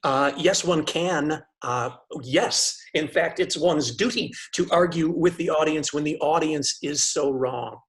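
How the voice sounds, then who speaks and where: A man's voice: fairly high pitch, unhurried, few pauses. A man playing a pompous, thin-skinned professor in a web comedy, theatrical and stammering when challenged. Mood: exasperated. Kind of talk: scripted comedy acting on a video call.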